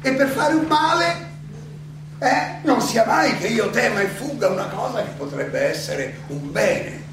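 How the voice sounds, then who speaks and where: Defiant philosopher on trial, stage monologue, slow halting declaiming, really echoey hall with noise. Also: male voice, very high-pitched, expressive, music in the background, exaggerated voice. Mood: resolute. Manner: declamatory, halting, rhetorical questioning. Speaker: stage actor and director. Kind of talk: theatrical monologue. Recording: echoey hall, distant mic, clearly noisy.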